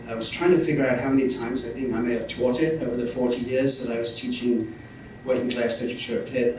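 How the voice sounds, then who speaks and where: Older English man in a very echoey space. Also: medium pitch. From north of England. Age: 60s or older. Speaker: retired university lecturer, guest speaker. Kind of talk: informal public speech. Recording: very echoey, distant mic, faint noise.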